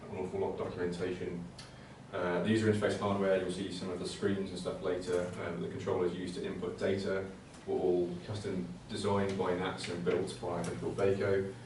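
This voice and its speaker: A man presents technical material informally, in a low voice that stays quite flat. He is hesitant and conversational, doubling back on words as he explains. The recording is heavily echoey, as if from a venue. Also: brisk. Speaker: presenter at a computing conservation talk. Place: indoor talk venue. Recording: very echoey room, distant mic, faint noise.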